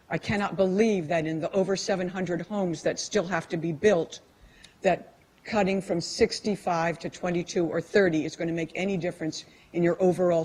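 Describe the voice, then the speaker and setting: A woman resident with a big voice speaks at a council meeting's public comment, pleading forcefully with some restarts. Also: fairly low pitch, breathy edge.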